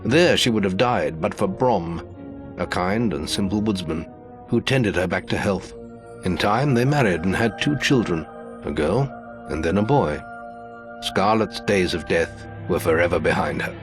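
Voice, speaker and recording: Deep, solemn legend storyteller. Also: male voice, expressive. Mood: grave. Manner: grave, measured storytelling. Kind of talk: scripted fantasy game narration. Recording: music under the voice.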